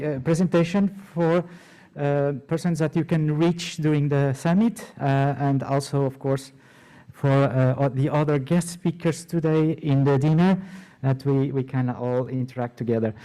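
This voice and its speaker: A man with a Portuguese accent moderating a conference session, halting with many fillers and restarts. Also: medium pitch, mellow, rough recording. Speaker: event moderator. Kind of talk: hesitant conference hosting.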